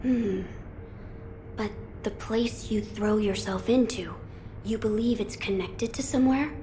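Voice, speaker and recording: A woman plays a calm, caring character in a video game. Her medium-pitched voice is gentle and reserved. Ambient noise is audible behind her. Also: unhurried, close to an everyday voice, poor audio. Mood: concerned, attentive. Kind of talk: English game voice acting.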